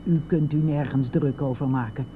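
A woman's voice: a bass voice, steady tone, moderate pace. Stubborn, anguished old mother on tinny, noisy recording. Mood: bitter, aggrieved. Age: older. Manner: insistent, aggrieved, dropping into near-whispers. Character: anguished, stubborn elderly mother of a grown son. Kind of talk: radio play acting. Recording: noisy phone-like old radio play recording.

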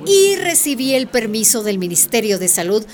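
A woman's voice: fairly high pitch, very animated, normal speed. A woman playing a proud, self-assured mayor in a radio drama, speaking in an assertive, formal way. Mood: defiant. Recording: faint room ambience behind.

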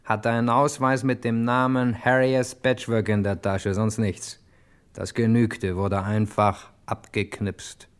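An actor playing a hard-boiled, cocky hero who narrates a German radio crime play in clipped slang, talking straight to the listener. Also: male voice, fairly low pitch, little pitch movement, unhurried, clean tone, close to an everyday voice. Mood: amused, cynical.

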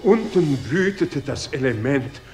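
A man's voice: a little high, very animated, unhurried, faint music behind. A man narrating a literary radio drama in a formal, declamatory manner.

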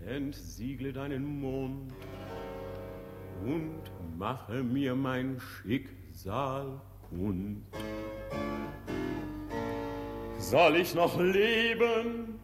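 Slow, ardent young lover, formally courteous. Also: male voice, medium pitch. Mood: yearning, impassioned. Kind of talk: German radio play acting of classic stage comedy.

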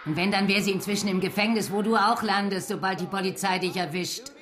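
A woman's voice: mid-range voice, quick pace, a bit bright, natural voice. An actress playing a hostile, accusing woman householder in a radio drama. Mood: indignant, hostile. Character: hostile, indignant, accusing landlady.

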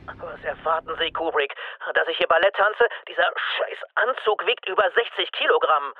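An actor in a radio play playing a grumbling, fumbling astronaut, testy and hesitant. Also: male voice, fairly high pitch, animated, normal speed, narrow, tinny audio. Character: grumbling, fumbling male astronaut in a film studio.

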